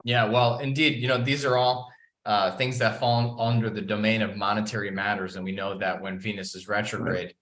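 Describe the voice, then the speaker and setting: A man's voice: on the low side, little pitch movement, normal speed, a bit bright. A man hosting a market podcast in a conversational interview style, with frequent filler words as he talks. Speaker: podcast host. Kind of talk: casual market commentary interview. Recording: roomy, echoey sound.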